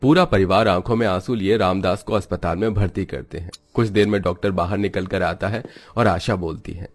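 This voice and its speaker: A man's voice: low-pitched, brisk, soft background music. A man narrating a children's moral story in a scripted, dramatic storytelling style.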